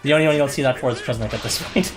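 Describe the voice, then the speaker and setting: A man's voice: mid-range voice, rapid-fire. A man giving casual, chatty live commentary while he plays a game as a streamer, in an offhand manner with some mild swearing. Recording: music playing behind the voice. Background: background music.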